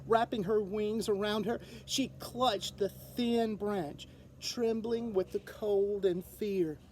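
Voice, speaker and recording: A woman reading a children's story aloud with expressive, dramatic narration. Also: medium pitch, slow, a little hiss or hum. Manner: expressive, dramatic delivery with sound words. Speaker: storybook reader.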